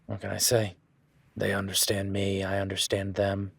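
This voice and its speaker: A man's voice: sits low, monotone, normal speed, creaky, a bit bright, slightly rough, no room sound, full character voice. A man voicing an easygoing male cowboy ranch hand in an audio roleplay, talking in a laid-back, folksy way with casual swearing.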